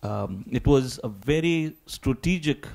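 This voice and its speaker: Halting man giving a deliberate academic answer. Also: mid-range voice, lively intonation, vocal fry, a little mellow. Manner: halting, deliberate, repeats words. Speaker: symposium panelist.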